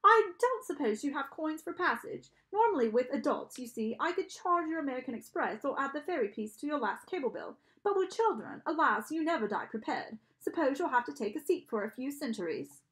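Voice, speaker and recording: A woman voicing a smooth, haughty male guard of the underworld in an audiobook, suave, condescending and theatrical, with a British accent. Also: high-pitched, big pitch swings, brisk, hardly any pauses, fairly bright tone, pitched above the performer's own voice, rough recording. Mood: sly, greedy.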